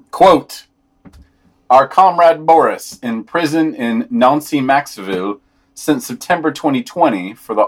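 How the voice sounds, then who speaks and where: A man with a mid-pitched, somewhat husky voice reads written summaries and quotations aloud as a podcast presenter. He adds brief commentary of his own as he goes. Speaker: podcast news reader.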